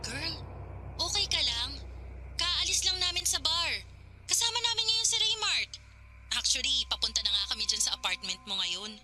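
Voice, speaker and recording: A woman acting an excitable, protective young female friend in a Filipino radio drama. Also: high voice, normal speed, soft background music, full character voice. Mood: concerned, alarmed.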